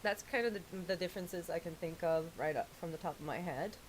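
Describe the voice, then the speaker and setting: Low-voiced woman streaming. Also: a little hiss or hum, rough recording. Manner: rambling, pauses with fillers and restarts. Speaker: livestreamer. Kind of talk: casual livestream chat while doing makeup.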